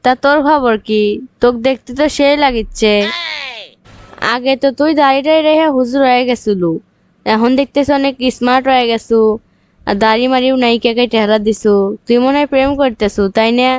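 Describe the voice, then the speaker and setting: A woman's voice: high-pitched, brisk, smooth, no echo, lightly acted. A woman voicing a hurt, reproachful young businesswoman in a comic cartoon. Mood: chatty, teasing.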